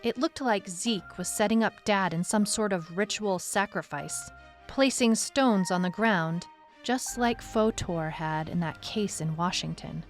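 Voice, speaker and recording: A woman's voice: medium pitch, a little slow, soft background music, natural voice. Woman as female detective narrating her case. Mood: brooding, reflective. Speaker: lead narrator. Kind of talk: scripted first-person narration, audio drama.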